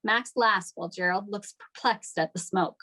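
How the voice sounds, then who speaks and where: Lady reading out script directions, medium pitch, scripted narration. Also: normal speed, bright, forceful tone. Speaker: stage directions reader. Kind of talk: scripted narration at a table read.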